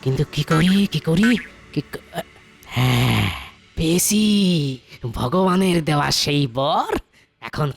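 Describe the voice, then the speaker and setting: A woman's voice: sits low, animated, slow, gravelly, long pauses, full character voice. Woman as a poor sneaky blacksmith guy in a cartoon, super up-and-down folksy talk, music behind. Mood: wary muttering. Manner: rustic, exclamatory, self-muttering. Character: poor, crafty male village blacksmith, excitable and folksy. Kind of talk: animated cartoon dub.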